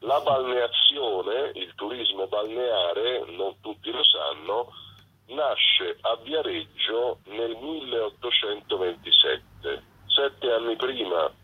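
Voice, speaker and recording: Deep, slow, husky man. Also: animated. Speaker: festival director being interviewed. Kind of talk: conversational radio interview. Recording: slightly muffled phone line.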